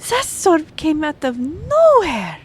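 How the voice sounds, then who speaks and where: A slow-spoken young half-elf royal liaison, played by a woman, with a somewhat high voice. She is courteous and easily flustered, hesitating and apologising as she goes, in fantasy audio roleplay style. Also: huge pitch swings, a little hiss or hum, full character voice. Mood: dejected.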